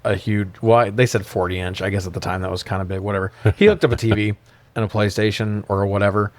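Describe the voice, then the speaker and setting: A man's voice: on the low side, steady tone, fast, no echo. A man co-hosting a film review podcast in casual, profane chat, recapping and commenting with wry informality. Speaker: podcast co-host.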